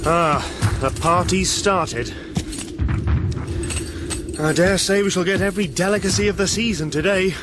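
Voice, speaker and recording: An actor playing an eager, dutiful British army major in a period radio drama, speaking in a clipped officer's manner. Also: male voice, high voice, a little slow, pauses often, over music. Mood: musing, observant.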